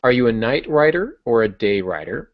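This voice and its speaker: A man's voice: mid-range voice, sing-song, normal speed, no room sound. A man hosting a casual writing podcast, rambling along in a self-deprecating way over an online call. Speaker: podcast host and fiction author. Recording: dull, dry, lo-fi online call audio.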